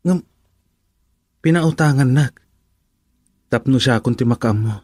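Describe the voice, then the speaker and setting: A man's voice: mid-range voice, measured pace, a little mellow, no echo, clean studio sound, close to an everyday voice. An actor playing a humble, hesitant farmer and father in an Ilocano radio drama. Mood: thoughtful.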